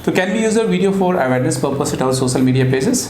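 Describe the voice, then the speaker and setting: A man's voice: on the high side, animated, rapid-fire, darkish tone. A man with an Indian accent who works as a voice therapist, conducting a formal clinical interview to camera. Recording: echoey room with faint background noise.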